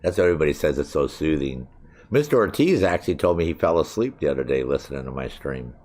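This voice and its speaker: A man's voice: extremely low pitch, animated, fast, a little mellow. A man casually streaming a shooter game, chatty and profane, with a soothing voice. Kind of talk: casual live-stream gaming commentary. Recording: game gunfire over voice.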